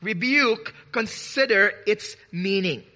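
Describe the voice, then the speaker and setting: A man preaching a sermon to his congregation, earnest and deliberate, with frequent restarts, drawing out key words. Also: high voice, bright, forceful tone. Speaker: pastor.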